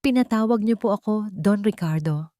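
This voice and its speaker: A woman's voice: mid-range voice, warm tone, dry recording, studio quality, voice pushed up, close to an everyday voice. Woman voicing a timid, deferential elderly nurse. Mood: respectful. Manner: deferential, halting. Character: elderly female nurse, respectful, fearful, hesitant servant. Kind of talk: storybook drama dialogue.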